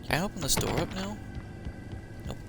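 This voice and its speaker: A man's voice: on the high side, big pitch swings, moderate pace, vocal fry, over music. A man giving casual live gameplay commentary as a streamer, muttering to himself. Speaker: game streamer.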